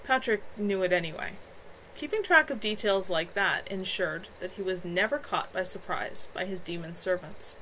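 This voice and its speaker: A woman reading her own novel aloud as an author on a home livestream, in an expressive amateur narration style. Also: medium pitch, normal speed, a little hiss or hum, poor audio. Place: at home, in a room with a bed.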